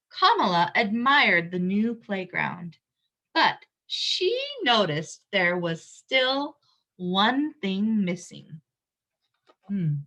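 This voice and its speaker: Expressive woman reading to children, slow and bright. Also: medium pitch. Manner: expressive, simple explaining to young children. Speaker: read-aloud storyteller for young children. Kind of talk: picture-book read-aloud with explanatory asides.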